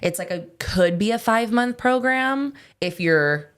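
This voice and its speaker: A woman, a guest on a studio podcast, answering in a relaxed way full of "like". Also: medium pitch, a little slow, clear, resonant tone. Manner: relaxed, lots of "like" fillers. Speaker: guest, esthetician. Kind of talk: casual interview answers.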